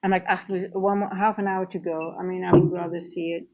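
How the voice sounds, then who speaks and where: A woman's voice: fairly low pitch, flat delivery, brisk, highs cut off, noisy background. A tired woman from the Netherlands with a Dutch accent, chatting casually through a live trading stream and answering viewers.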